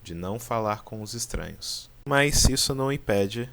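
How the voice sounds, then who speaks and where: A man with a Brazilian accent gives casual explainer commentary, informal and pausing for drama. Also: lowish voice, lively intonation, vocal fry, fairly bright tone. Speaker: video creator and narrator. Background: background music.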